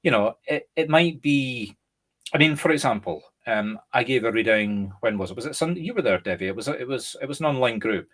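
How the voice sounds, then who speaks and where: A Scottish man, a medium and co-host, chatting casually and explaining through lively examples. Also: medium pitch, fairly quick. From Scotland. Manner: animated, explains through examples and acted-out quotes. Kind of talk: casual conversation.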